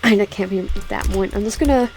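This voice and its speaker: A woman's voice: mid-range voice, brisk, a little mellow, faint music behind. A young woman reading a visual novel aloud with side comments, often stumbling, restarting words and giggling.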